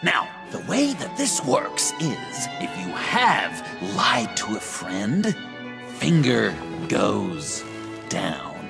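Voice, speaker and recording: An actor playing a manic, gleefully menacing clown villain in a video game, theatrical, taunting and erratic. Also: male voice, fairly low pitch, huge pitch swings, drawn-out words, creaky, exaggerated voice. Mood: sinister glee. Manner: theatrical, taunting, erratic swings from hush to shouting. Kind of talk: dramatic video game acting. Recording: dramatic music under the voice.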